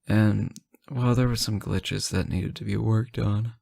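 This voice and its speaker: A man playing a sleep-deprived, teasing boyfriend on an intimate late-night call, soft-spoken, hesitant and gently joking. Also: lowish voice, fairly even intonation, a little slow, creaky, husky, no echo, studio quality, exaggerated voice. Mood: tired, apologetic. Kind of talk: intimate audio roleplay, one side of a phone call.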